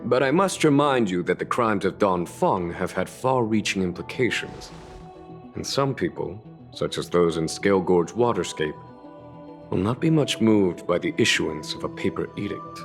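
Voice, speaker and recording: An actor playing a composed, courteous military general in a fantasy game, speaking in formal, measured phrases. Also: male voice, low voice, lively intonation. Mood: grave, courteous. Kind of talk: scripted game voice acting. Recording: background music.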